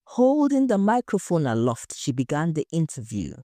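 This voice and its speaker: A woman narrating a scripted story in a measured, dramatic way. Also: sits low, huge pitch swings, dark tone, dry recording, studio quality. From Nigeria.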